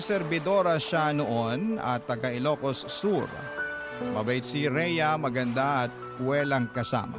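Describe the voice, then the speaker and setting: A man's voice: mid-range voice, close to an everyday voice. A man playing an earnest cook who narrates his own love story in a scripted radio drama. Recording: loud music bed behind the voice. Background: prominent music.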